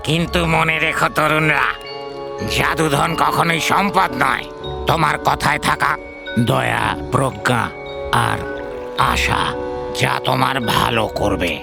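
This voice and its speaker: Old magical spirit in a cartoon, really croaky gravelly voice, solemn and wise, music behind. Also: male voice, on the high side. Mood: wise counsel. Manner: grave, formal, measured. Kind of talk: animated fairy-tale dialogue.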